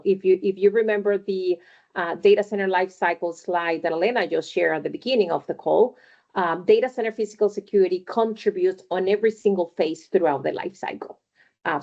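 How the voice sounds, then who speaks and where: A woman's voice: on the low side, a little mellow, lo-fi sound. A middle-aged woman gives a conversational career talk on a video call, with many fillers and "right?" tags. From Venezuela. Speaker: webinar panelist.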